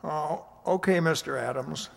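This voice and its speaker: A man's voice: a little high, measured pace, vocal fry, full character voice. A man playing a meek, hesitant security guard in a radio drama, stammering and folksy as he speaks. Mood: nervous, deferential. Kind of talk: radio drama acting and narration. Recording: reverberant hall-like amateur recording.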